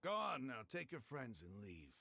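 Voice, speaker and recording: Gravelly desert bandit chief. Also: male voice, medium pitch, wildly swooping pitch, moderate pace, very noisy. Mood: relenting. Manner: commanding, taunting. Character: gruff desert bandit leader, harsh but honorable.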